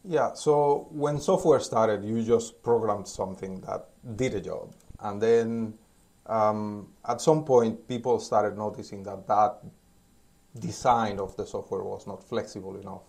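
A man in his forties from Cuba, giving relaxed, thoughtful interview answers, pausing often and restarting as he talks, filmed outdoors on a rooftop terrace on a phone at arm's length. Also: fairly low pitch, vocal fry. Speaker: interview guest, DevOps engineer. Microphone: phone held at arm's length.

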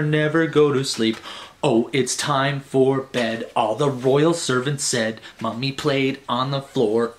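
A man in his forties reading picture books aloud as a bedtime storyteller, playful and theatrical, with chatty conversational asides. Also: mid-range voice. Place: small furnished room at home, indoors. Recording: roomy home recording with clear background hiss.

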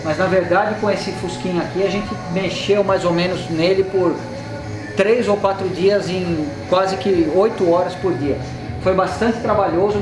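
High-voiced Brazilian man in echoey garage. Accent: Brazilian Portuguese. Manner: explanatory, gestures at the car while talking. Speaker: mechanic and channel host. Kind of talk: casual workshop vlog talk. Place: indoor car repair workshop. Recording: echoey workshop with music underneath.

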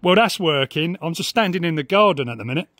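A man's voice: fairly high pitch, lively intonation, quick pace, fairly bright tone. A middle-aged man with a southern English accent chatting informally in a casual hobby vlog. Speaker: radio hobbyist vlogger. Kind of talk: casual vlog chat and radio calls. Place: house and back garden.

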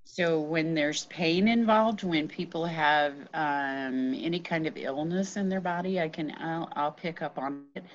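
Middle aged lady explaining stuff as a guest, pretty deep voice, kinda muffled video call. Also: unhurried, few pauses. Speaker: interview guest, energy healer. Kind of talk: casual explanatory conversation. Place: small room at home. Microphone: video call, shared device at arm's length.

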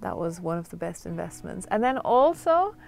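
A young woman chatting easily about sailing equipment, relaxed and easygoing. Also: on the low side, normal speed, gravelly. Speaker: guest sailor. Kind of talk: casual conversation on a sailboat. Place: outdoors on a sailboat cockpit.

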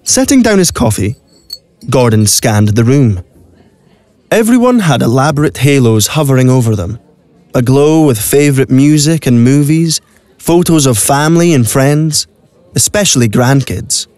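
A man narrates a story from a script. His voice sits at a medium pitch. It is recorded dry in a studio, with no room sound. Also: animated, moderate pace, darkish tone, no echo.

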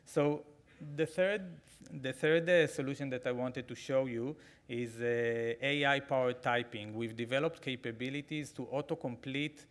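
A man in his forties with an Israeli accent, giving a keynote talk with a live demo from a podium microphone, halting with frequent fillers and restarts. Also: medium pitch, steady tone. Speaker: conference keynote speaker. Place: large conference hall, at a podium.

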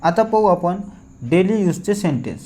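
A man with a Marathi Indian accent teaching a lesson, deliberately reading out practice sentences for learners to repeat. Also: high-pitched, animated, mellow. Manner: deliberate, repetitive, instructing listeners to repeat after him.

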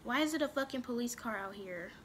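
A woman's voice: on the high side, expressive, fast talker, a bit bright, slight background noise. A young woman casually commenting on a video game at home, bickering with her brother and swearing a lot. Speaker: gaming vlogger.

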